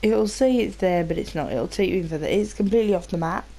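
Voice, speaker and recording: A woman's voice: medium pitch, fast, dark tone. A British woman giving casual, rambling gameplay commentary, informal and sweary, sounding slightly nasal from a cold. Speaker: gaming video host.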